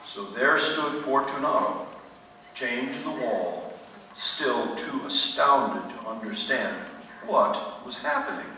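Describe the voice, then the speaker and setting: An actor playing a grave narrator, reading a macabre tale as scripted drama in a radio play. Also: male voice, mid-range voice, expressive, takes it slowly. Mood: suspenseful. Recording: echoey hall, far from mic, faint noise.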